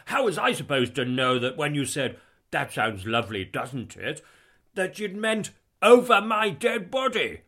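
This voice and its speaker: An excitable, blustering older family man from a comic storybook, put on by a male narrator. He pitches his voice high and swings it about with childish, flustered energy, sounding bright and forceful. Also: unhurried, breathy edge, heavily acted. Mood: protesting. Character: older husband and father, flustered, gleeful, childish.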